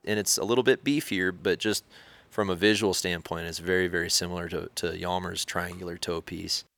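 Very low, flat-voiced man. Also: a little fast, gravelly, no room sound. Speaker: interviewee. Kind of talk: casual interview answer.

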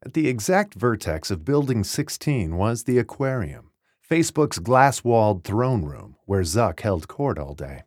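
A man's voice: lowish voice, big pitch swings, unhurried, darkish tone, dry recording. A man reading a first-person memoir as scripted audiobook narration, articulate and wry, recorded close in a dry studio.

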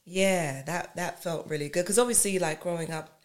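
Woman, 30s, London accent softened by American, low-ish voice, loose chatty interviewee who laughs easily. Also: moderate pace. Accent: East London with an American blend. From East London. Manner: chatty, laughs often, many fillers. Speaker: actress guest. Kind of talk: casual interview chat.

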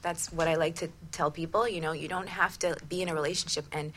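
A woman's voice: low voice, fairly even intonation, fast, fairly bright tone, a little hiss or hum. A woman hosting a conversational radio talk show, affirming and often checking in with "right?".

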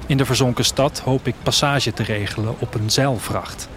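A man's voice: mid-range voice, moderate pace, a little hiss or hum, lightly acted. A man acting as a wistful, restless traveller, narrating an audio drama in a reflective, literary first-person style. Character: wistful, restless traveller leaving his future city. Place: studio, music behind.

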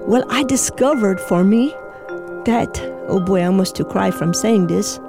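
Woman storyteller, foreign-sounding accent, mid-range pitch, emotional and halting delivery, clear music bed underneath. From Indiana, USA. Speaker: storyteller, interviewee. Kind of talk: personal storytelling.

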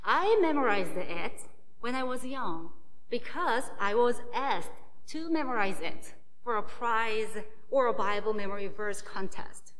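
Korean-native lady pastor preaching real slow, kinda high voice, big echoey hall. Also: lively intonation, fairly bright tone, slight background noise. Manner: slow, measured reading of a prepared sermon. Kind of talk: sermon.

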